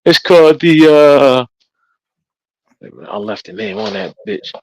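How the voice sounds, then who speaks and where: A man's voice: mid-range voice, expressive, moderate pace, a little mellow, no room sound, low-quality recording. A man co-hosting a casual chat, agreeable and joking, often repeating his words. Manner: agreeable, laughing, repeats himself. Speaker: podcast co-host.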